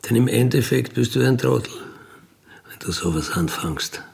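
A middle-aged or older man with a gravelly voice, telling personal stories casually and emphatically in colloquial speech, often checking for agreement. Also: mid-range voice, animated, moderate pace, darkish tone. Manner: colloquial, emphatic, seeks agreement with tag questions. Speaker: interviewee, career crook telling his life story.

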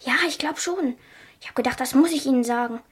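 A woman's voice: high voice, a little fast, husky. A female performer playing an earnest, dutiful young girl in a crime radio play. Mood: earnest, anxious.

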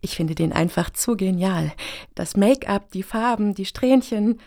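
Actress doing gushy self-important activist lady in a satire radio play, bit of fry, dry studio sound. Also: medium pitch, unhurried, mellow, dry recording, lightly acted. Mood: gushing. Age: middle-aged. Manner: earnest, jargon-heavy, self-assured. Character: self-important, gushing woman pitching an activist initiative.

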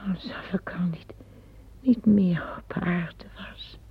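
A weary, fearful old empress, played by an actress, speaking slowly in a very breathy half-whisper. She sounds worn out and uneasy. The old radio play recording is thin and tinny. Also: fairly low pitch, exaggerated voice. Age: older. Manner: hushed, breathy, often near a whisper. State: tired.

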